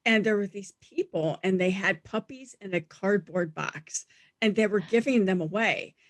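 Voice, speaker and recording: Brief: middle-aged woman guest, scratchy voice, animated storyteller, dry close mic. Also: medium pitch, moderate pace, no room sound. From Denver, USA. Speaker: guest, animal talent agent. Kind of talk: casual interview storytelling. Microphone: studio mic.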